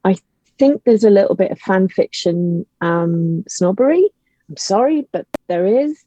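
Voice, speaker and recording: A woman's voice: mid-range voice, animated, a little slow, dark tone. A woman speaking as an interview guest, giving her opinions emphatically in a conversational way. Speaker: podcast guest, audio drama writer and actor. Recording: dull, lo-fi, roomy call audio.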